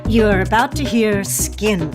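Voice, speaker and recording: A woman's voice: mid-range voice, takes it slowly. A woman hosting a podcast, reading a scripted introduction. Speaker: podcast host. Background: music.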